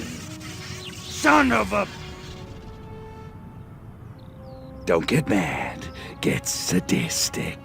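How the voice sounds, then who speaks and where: Gravelly, cocky little alien invader. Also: male voice, fairly high pitch, heavily acted. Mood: furious. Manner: sardonic, menacing drawl. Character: small, cocky, sadistic male alien invader. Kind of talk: video game cutscene acting. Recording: music behind the voice.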